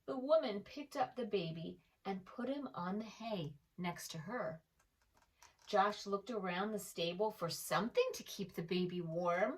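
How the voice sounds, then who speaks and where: A woman storyteller reading aloud to preschoolers in an expressive way, pausing to address the young listener directly. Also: medium pitch, far from the mic, rough recording. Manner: slow, animated, addresses the young listener with questions. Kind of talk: expressive children's read-aloud.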